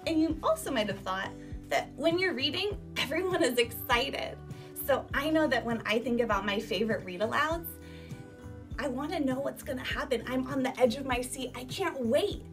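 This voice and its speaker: Female primary teacher, high voice, emphatic instructional delivery for children, music clearly behind her. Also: moderate pace. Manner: emphatic, animated teacher delivery with rhetorical questions. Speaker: elementary school teacher. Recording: distant microphone, music behind.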